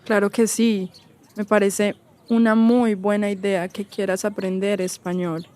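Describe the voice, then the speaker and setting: A woman's voice: medium pitch, flat delivery, a little slow, dark tone. A woman from Spain chatting politely and encouragingly with a stranger in a park. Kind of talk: friendly casual conversation. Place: outdoors in a park.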